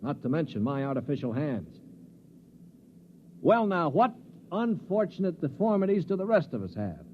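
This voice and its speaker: Sardonic cynic in old, muffled radio drama. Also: male voice, a little high, big pitch swings, normal speed, long pauses. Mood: mocking. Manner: sardonic, taunting, mock-courteous. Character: cynical sneering man bent on staying alive. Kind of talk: 1950s radio drama acting. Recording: muffled, noisy 1950s radio recording.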